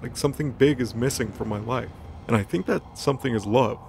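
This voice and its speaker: Male comedic storyteller, mid-pitched and gravelly with fry, playful casual recap, music bed. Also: brisk, a little mellow, a little hiss or hum.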